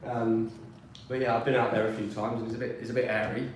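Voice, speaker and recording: A man's voice: medium pitch, little pitch movement, quick pace, far from the mic, slight background noise. A man from Peterborough, originally from South Wales, talking through the track as a racer and guest commentator in a rambling, upbeat way. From born in South Wales, raised in Peterborough. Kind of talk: casual racing commentary. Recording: echoey room, voice off-mic.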